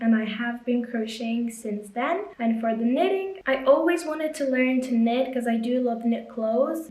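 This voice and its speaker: Chatty Lithuanian-accented young woman, echoey room. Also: a little high. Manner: chatty, relaxed, with fillers like "uh" and "you know". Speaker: crochet and knitting creator. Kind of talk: casual vlog chat while crocheting.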